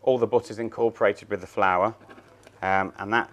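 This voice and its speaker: Chef explaining steps while cooking. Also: male voice, fairly low pitch, steady tone, moderate pace, a bit bright. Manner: plain, step-by-step explaining while working. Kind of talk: cooking demonstration. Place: large showroom kitchen, indoors.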